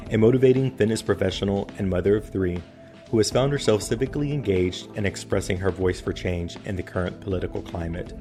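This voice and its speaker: A man's voice: deep voice, gravelly. A man hosting a podcast, reading a scripted intro and then asking hesitant questions full of uhs. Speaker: podcast host.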